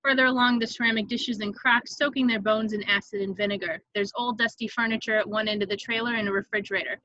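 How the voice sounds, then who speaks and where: A woman's voice: medium pitch, fairly even intonation, fast, bright, forceful tone, no room sound, highs cut off. A woman reading stage directions as scripted narration for a table read.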